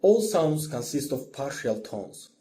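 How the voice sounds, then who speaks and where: A man giving a scripted music lesson as a lecturer, in a measured, didactic manner. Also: mid-range voice, big pitch swings, dark tone, slight background noise. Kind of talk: scripted instructional narration.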